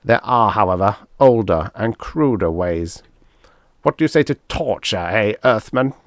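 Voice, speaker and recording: A man's voice: fairly low pitch, lively intonation, a little slow, dry recording, studio quality. A man voicing an evil, irritable toadlike alien commander who barks orders and sneers in a pulp science fiction audiobook. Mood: cruel, menacing.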